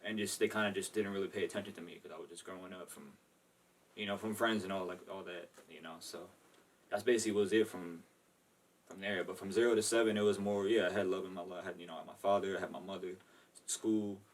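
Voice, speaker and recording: Young man guest from Maryland, quick loose rambling talk, somewhat low voice, sitting back from the mic. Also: steady tone, far from the mic, rough recording. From Maryland, USA. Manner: loose, rambling, lots of "you know" and "like". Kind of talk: casual interview answers.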